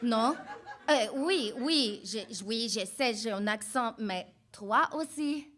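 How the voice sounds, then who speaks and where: An actress in a staged play reading, playing a gentle, polite immigrant woman who has lived in the city for eight years. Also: on the high side, expressive, takes it slowly. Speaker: actor in a public reading.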